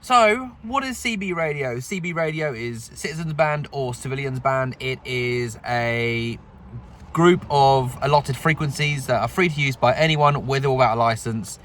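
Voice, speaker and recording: A man's voice: medium pitch, moderate pace, fairly bright tone, slight background noise. A British man with a mid-range voice talks casually to camera as a hobbyist host. His delivery is conversational and wry, with understated humour. He is speaking from inside a parked pickup truck. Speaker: radio hobbyist vlog host. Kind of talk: casual vlog chat to camera.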